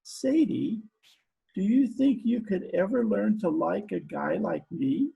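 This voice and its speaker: A man's voice: high-pitched, slow, gravelly, warm tone, lo-fi sound, heavily acted. A man playing a dim, lovestruck, trigger-happy gangster with slangy, uneducated talk in a 1940s-style radio comedy. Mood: smitten, eager. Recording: home video-call recording.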